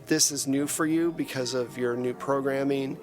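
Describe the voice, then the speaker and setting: A man's voice: medium pitch, steady tone, normal speed. A man narrating a tabletop game as game master in a casual, conversational way.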